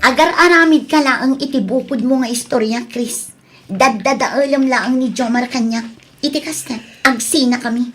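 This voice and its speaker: Casting: actress as lovesick young Ilocano daughter, defiant and emotional, noticeably high voice. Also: female voice, normal speed, fairly bright tone, soft background music. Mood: defiant, upset. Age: young adult. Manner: plaintive, emotional. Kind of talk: Ilocano audio drama acting.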